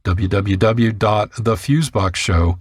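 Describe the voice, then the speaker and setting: A man's voice: fairly low pitch, a little slow, background noise. A man reading scripted narration as a radio producer and host.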